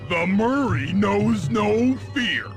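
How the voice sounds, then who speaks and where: High, slow, boastful cartoon hippo. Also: male voice, expressive, exaggerated voice. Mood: proud. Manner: slow, pompous third-person bragging. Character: big dim boastful hero hippo, loyal and childlike. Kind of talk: cartoon video game acting. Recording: game music behind voice. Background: game music.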